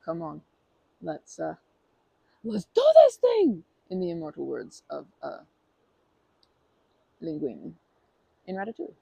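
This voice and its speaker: Woman rambling shyly in an asmr roleplay, mellow voice, swoops up and down a lot. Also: on the low side, huge pitch swings, unhurried, gravelly, long pauses, husky, no room sound, poor audio. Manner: rambling and bashful, with many fillers and restarts; playful and earnest, laughing softly. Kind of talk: ASMR roleplay, talking to the listener.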